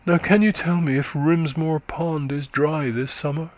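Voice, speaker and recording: A man voicing a weary, faint middle-aged countrywoman who is exhausted and short of breath, speaking slowly and low. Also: fairly even intonation, a little mellow, dry recording, lightly acted. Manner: slow, low, sorrowful.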